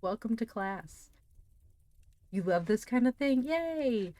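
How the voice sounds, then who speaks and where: A woman reading aloud and chatting on a livestream, expressive and enthusiastic in manner. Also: fairly high pitch, slow, no echo. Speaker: streamer reading books aloud; teacher. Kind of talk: expressive audiobook-style reading with casual livestream chat.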